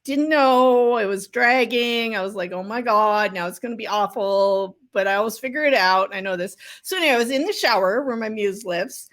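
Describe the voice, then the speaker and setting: A woman's voice: on the high side, normal speed, hardly any pauses, fairly bright tone. A woman author being interviewed, telling stories about her writing in a casual, candid and informal way with self-deprecating humour.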